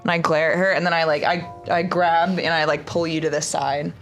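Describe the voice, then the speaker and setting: A woman with a lowish voice playing a tabletop game, quick with teasing jabs and chatty asides. Faint music sits behind her. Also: little pitch movement. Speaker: player.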